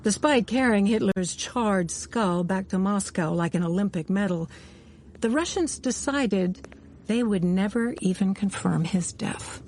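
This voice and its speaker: An actress playing a sharp-witted American intelligence officer, narrating her Cold War memories in a dry, wry radio drama style. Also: mid-range voice, a little hiss or hum, close to an everyday voice. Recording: music bed behind studio narration.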